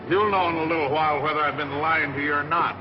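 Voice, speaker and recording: An actor playing a blustery old sea dog in an old movie serial. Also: male voice, fairly high pitch, fairly even intonation, fast, background noise, natural voice. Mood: hearty, defiant. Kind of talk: 1940s movie serial acting. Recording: muffled old film soundtrack, loud music behind.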